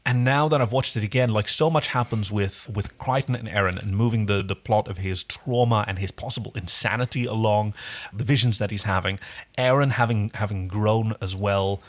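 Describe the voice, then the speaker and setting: A man's voice: on the low side, normal speed. A man co-hosting a casual episode recap, effusive and rambling, repeating words as he goes. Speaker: podcast co-host.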